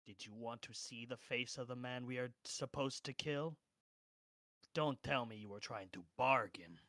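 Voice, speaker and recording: A man's voice: mid-range voice, bright, forceful tone, no room sound, pitched below the performer's own voice. Man playing a pompous, smug knight, close and dry. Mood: low, probing. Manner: pompous, theatrical, deliberate. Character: arrogant, smug male knight with boundless ambition.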